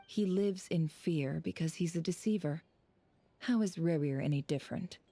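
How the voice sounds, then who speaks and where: A composed, sardonic game character with a lowish, dark, mellow voice. She is measured and guarded, brooding with a cynical edge. The recording is close and dry. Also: no echo. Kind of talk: video game voice acting.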